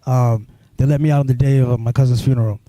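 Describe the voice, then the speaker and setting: A man from Sudan telling his life story as an interview guest, halting, with many restarts and "you know"s. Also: medium pitch, fairly even intonation, dark tone, no echo.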